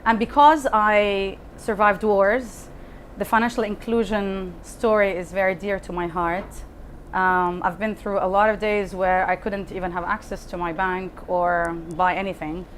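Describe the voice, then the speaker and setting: A woman's voice: medium pitch, fairly even intonation, unhurried. A woman speaking as a panel guest, telling personal stories in a candid way with asides. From Lebanon. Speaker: panel guest, fintech founder. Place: live talk event before an audience. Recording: faint background noise, live event room.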